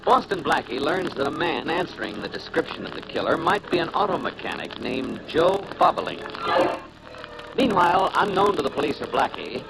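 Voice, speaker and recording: A man's voice: fairly high pitch, very animated, moderate pace, vocal fry, poor audio. A man narrating a detective serial as announcer in dramatic, scripted 1940s radio style. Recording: old 1940s radio recording with music.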